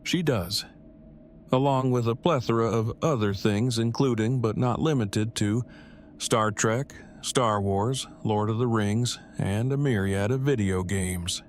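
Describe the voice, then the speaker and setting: A man's voice: fairly low pitch, measured pace, slight background noise, natural voice. A man playing a wordy, self-deprecating, heartbroken nerd who speaks in stilted formal phrases in an audio drama. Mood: formal, measured.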